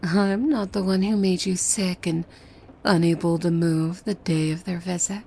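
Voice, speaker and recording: Woman acting a slow, hushed possessive demon maid. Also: fairly low pitch, steady tone, slight background noise. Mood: calmly deflecting. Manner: slow, hushed, often breathy whispering, formal servant speech. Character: possessive demon maid, softly menacing, devoted to master. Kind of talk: ASMR roleplay.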